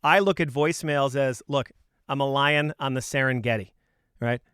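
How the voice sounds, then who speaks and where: A man's voice: mid-range voice, normal speed, fairly bright tone, clear, resonant tone, no echo, clean studio sound. A man coaching on sales in an informal, opinionated talk, speaking emphatically and conversationally with punchy rhetorical questions.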